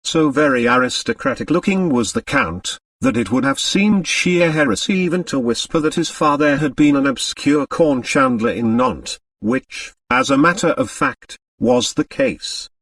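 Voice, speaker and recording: A man's voice: fairly high pitch, expressive, normal speed, vocal fry, hardly any pauses, fairly bright tone, no echo, far from the mic. A man reading literary fiction as an audiobook narrator, in a scripted storytelling style.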